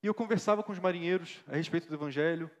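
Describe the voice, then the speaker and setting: A man's voice: on the high side, very fast, natural voice. A man playing an earnest young missionary pastor, telling his life story in a staged interview. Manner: earnest, reflective storytelling with frequent tag questions. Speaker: interviewee in a church play. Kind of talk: staged interview, church theatre. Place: church hall. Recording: reverberant church hall.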